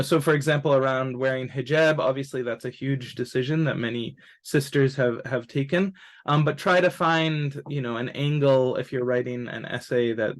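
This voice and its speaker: Man giving a halting informal webinar talk. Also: medium pitch. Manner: hesitant, explanatory, halting delivery with many filled pauses. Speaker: college admissions advisor and presenter. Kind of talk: informal webinar presentation on college admissions.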